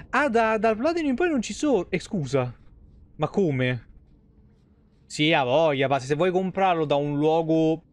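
A man, giving casual, joking, foul-mouthed live-stream commentary. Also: medium pitch. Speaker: video game streamer. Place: small home room, indoors.

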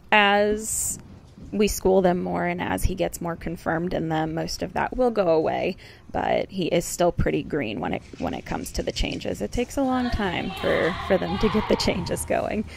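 A woman talks through a casual vlog in a chatty, affectionate way. Her voice sits at a middling pitch with plenty of creaky fry. There is noticeable background noise behind her. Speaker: horse rider and vlogger.

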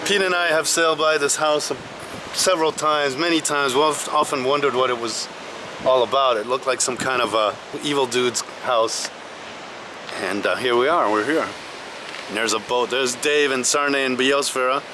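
A man's voice: mid-range voice, moderate pace. A man chatting casually on camera, easygoing and chatty, calling people "man", on a windy clifftop and around town. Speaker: fellow sailor, friend of the host.

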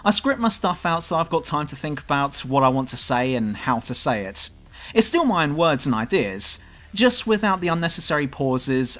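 Lively southern English man, fairly high. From southern England. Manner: dry, self-mocking. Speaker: YouTube gaming channel host. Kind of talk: scripted commentary over gameplay.

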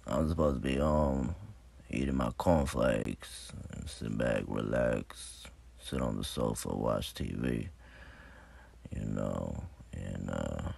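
A worn-out old man in his late seventies for a phone comedy skit. The voice sits extremely low, deeply gravelly and husky, and he rambles slowly and wearily. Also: a little mellow, full character voice. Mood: weary. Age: 70s. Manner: rambling, weary, slow. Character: tired grumbling old man of seventy-nine. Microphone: phone front camera at arm's length.

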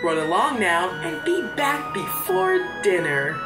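A woman voicing a doting, chiding mother from a fantasy video game in an exaggerated, expressive way. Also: medium pitch, a little slow. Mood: bright, motherly. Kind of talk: theatrical read-aloud of game dialogue. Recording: roomy echo, game music behind.

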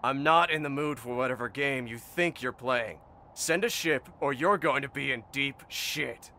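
An actor playing a blunt, hot-tempered stranded space soldier in an audio drama, combative and defiant. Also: male voice, mid-range voice, normal speed, sharp tone, a little hiss or hum. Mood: demanding.

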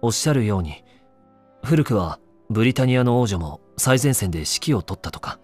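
An actor playing a courteous, inquisitive newspaper reporter in a Japanese video game, a man with a measured way of talking. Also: mid-range voice, lively intonation, a little mellow, faint music behind, close to an everyday voice. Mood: courteous, probing. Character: courteous, probing young newspaper reporter interviewing royalty.

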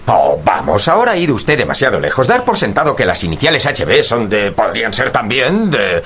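Un actor interpreta al amigo leal y escéptico de un detective, titubeante e inquisitivo, en una radionovela. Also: male voice, medium pitch, huge pitch swings, a little fast, fairly bright tone, slight background noise. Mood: skeptical. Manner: hesitant, questioning, plain-spoken. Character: loyal, skeptical gentleman friend of a detective, earnest. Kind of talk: Spanish-language radio drama dialogue.

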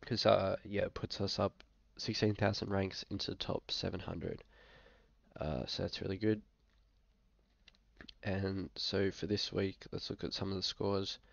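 Young Aussie bloke, under the weather, flat and low, fry in the voice, dull webcam sound; relaxed, hesitant delivery. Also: male voice, unhurried, dry recording. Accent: Australian. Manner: laid-back, frequent fillers, hesitant. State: sick, occasional coughing. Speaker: fantasy football vlogger. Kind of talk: casual screen-share commentary. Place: small room indoors.

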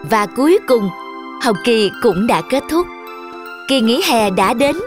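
A woman's voice: fairly high pitch, lively intonation, unhurried. A woman narrator reading a scripted children's fairy tale. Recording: children's music behind the voice.

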